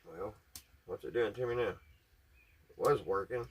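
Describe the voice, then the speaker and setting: A man's voice: lowish voice, unhurried, breathy edge. A man talks through hands-on repair work. His delivery is casual and folksy, like a hobbyist vlogger. He often muses aloud to himself while addressing his viewers.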